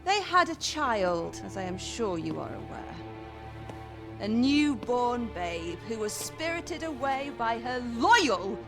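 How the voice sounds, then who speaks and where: An actress playing a seductive, vengeful masked madam in a fantasy game, speaking in measured, theatrical, archaic phrases. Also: female voice, fairly high pitch, big pitch swings, music in the background, full character voice. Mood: cold, accusing. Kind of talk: fantasy video game voice acting.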